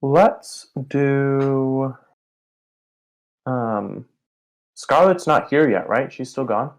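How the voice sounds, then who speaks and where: A woman's voice: very deep voice, extremely slow, rough recording. A woman talking casually as a player in a tabletop role-playing game. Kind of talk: casual chat.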